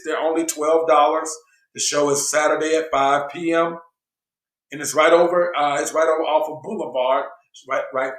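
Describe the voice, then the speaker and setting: A man with a slightly high voice hosts a casual online show, talking in a loose, slangy way and often restarting his sentences. His voice is going hoarse. The room around him sounds echoey. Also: fairly bright tone. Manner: laid-back slangy talk, many restarts. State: losing his voice. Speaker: show host.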